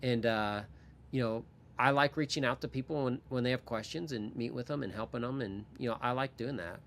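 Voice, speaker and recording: A man in his late forties speaking as a guest on a casual podcast. He thinks aloud, candid and unhurried, with plenty of fillers. A faint hum of background noise runs under his voice. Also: medium pitch, fairly bright tone. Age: 40s. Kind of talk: casual interview.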